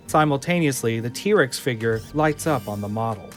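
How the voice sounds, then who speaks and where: A man narrates an audio drama in a mid-pitched voice. He reads scene descriptions and stage directions from a script, with music playing underneath. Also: animated, moderate pace.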